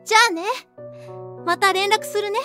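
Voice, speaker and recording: とても高い声の可憐な若い女性. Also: female voice, very high voice, fairly even intonation, normal speed, sharp tone. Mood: sweet, shy, happy. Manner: casual, girlish, direct. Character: friendly, sweet, forthright young woman, voice actress. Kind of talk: audio drama acting. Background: background music.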